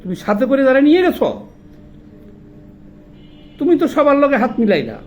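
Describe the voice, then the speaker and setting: A man's voice: very high voice, big pitch swings, moderate pace, darkish tone, muffled recording, soft background music. A man with a Bangladeshi accent delivering an impassioned political monologue, indignant and emphatic, often putting rhetorical questions. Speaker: political commentator.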